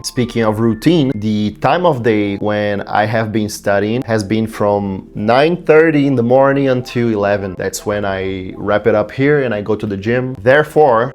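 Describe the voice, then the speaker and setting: A young man whose first language is Brazilian Portuguese, talking casually to camera about his language study routine, thinking aloud with fillers. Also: on the low side. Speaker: language learning youtuber.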